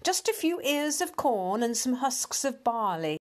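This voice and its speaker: A woman voicing a timid, homely male country mouse in a children's storybook, earnest and excitable. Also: a little high, measured pace, voice pushed up. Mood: bustling, apologetic.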